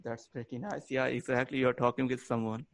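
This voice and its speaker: A man of middling pitch whose first language is Hindi, speaking English on a video call. He talks eagerly yet falters, filling gaps with "uh." The sound is dry and close, from a computer mic. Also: steady tone, a little fast, no echo. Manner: eager and hesitant, many filler words. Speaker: caller joining the meeting. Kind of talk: casual video-call chat.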